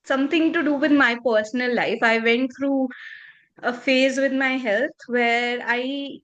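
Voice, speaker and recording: A woman's voice: fairly high pitch, fairly bright tone, poor audio. A woman around thirty, a native Punjabi speaker from northern India, talking earnestly and reflectively as a podcast guest. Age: 30s. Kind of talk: casual interview conversation.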